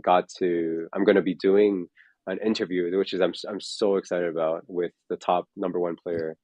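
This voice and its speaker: A man's voice: low-pitched, flat delivery, moderate pace, darkish tone, no echo. A man in his forties, a fitness coach, talking in a casual chat, rambling with lots of fillers and restarts. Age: 40s.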